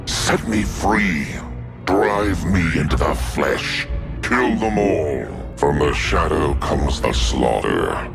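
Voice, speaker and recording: An actor voicing a bloodthirsty, sentient male scythe that taunts its foes in a gravelly, menacing voice for a game. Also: rumbling low, lively intonation, slow pace, full character voice. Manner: gloating taunts. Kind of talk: game voice lines. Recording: game audio with music.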